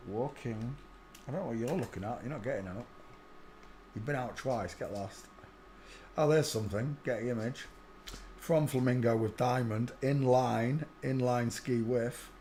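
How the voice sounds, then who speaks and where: A man's voice: medium pitch, normal speed, a little mellow, slight background noise. A man hosting a livestream, rambling to himself as he comments on photos. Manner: mutters to himself while working, trails off into asides. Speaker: livestream host.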